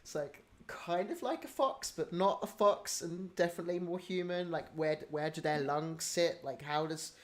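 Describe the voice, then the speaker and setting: A man's voice: on the high side, moderate pace, hardly any pauses. A man hosting a casual livestream Q&A with the cast, talking in a hesitant way with lots of ums and restarts, heaping praise on the cast. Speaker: livestream host running a cast Q&A.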